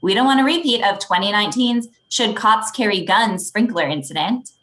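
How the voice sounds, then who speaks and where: A woman playing an officious debate moderator in a comedic table read, formal and deadpan. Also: medium pitch, moderate pace, lightly acted. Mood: firm, deadpan.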